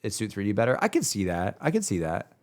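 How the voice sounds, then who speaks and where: A man's voice: fairly low pitch, wildly swooping pitch, quick pace. A man giving casual, slangy reaction commentary as a streamer, hedging his opinions as he goes. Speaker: streamer reacting to game cutscenes. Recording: music playing behind.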